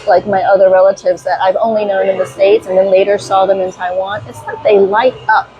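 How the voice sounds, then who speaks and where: A woman's voice: mid-range voice, flat delivery, a little fast, highs cut off, noisy background. A middle-aged woman hosting a casual conversation, chatty and emphatic, full of enthusiasm. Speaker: interview host.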